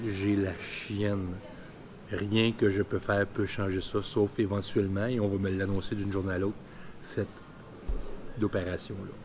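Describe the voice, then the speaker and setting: Low-voiced Quebec man around fifty. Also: noisy background. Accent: Quebec French. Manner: candid, colloquial. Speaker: vlogger. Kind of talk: personal vlog, telling his story.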